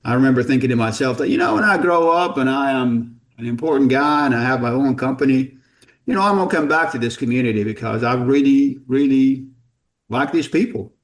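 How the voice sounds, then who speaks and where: A man's voice: mid-range voice, brisk. Jordan-born middle-aged man telling stories. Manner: rambling, self-deprecating, repeats words when starting sentences. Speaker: podcast guest, company founder. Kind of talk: casual storytelling interview.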